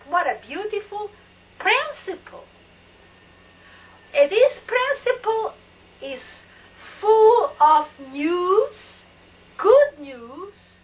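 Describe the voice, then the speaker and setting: An older Portuguese-accented woman gives a spiritual talk in a high voice that swoops up and down. She speaks slowly and emphatically. The recording is dull and lo-fi, like an old cable TV tape. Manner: emphatic and exclamatory, drawn-out words. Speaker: spiritual talk show host.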